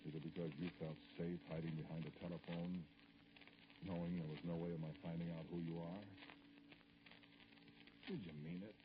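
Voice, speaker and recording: An actor playing an aggrieved, dignified politician in an old radio drama, speaking formally and hesitantly in a gravelly voice. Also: male voice, fairly even intonation, close to an everyday voice. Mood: wounded, indignant. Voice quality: very deep, gravelly. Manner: hesitant, formal, pointed, with pauses. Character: aggrieved middle-aged male politician, dignified, brooding, addressing a caller. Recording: noisy, dull vintage radio recording.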